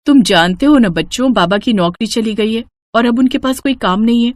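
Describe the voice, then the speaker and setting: A woman's voice: on the high side, fairly quick, no room sound, clean studio sound, natural voice. A woman voicing a poor, devout, caring village wife and mother in an earnest, pleading way for a story. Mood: worried.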